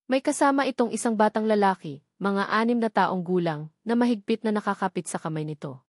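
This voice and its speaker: A woman narrating a romantic audio drama in a measured storytelling manner. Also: mid-range voice, no echo, studio quality.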